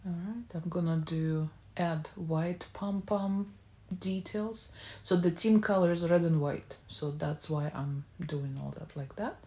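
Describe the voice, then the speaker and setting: A woman's voice: lowish voice, measured pace, dark tone. A woman hosting a baking tutorial, narrating each step casually with informal, slightly non-native English phrasing. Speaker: baking channel host.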